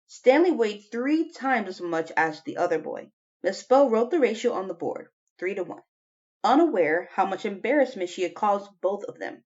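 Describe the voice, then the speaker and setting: A woman's voice: mid-range voice, highs cut off. A woman reading a children's novel aloud as an audiobook narrator. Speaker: audiobook reader.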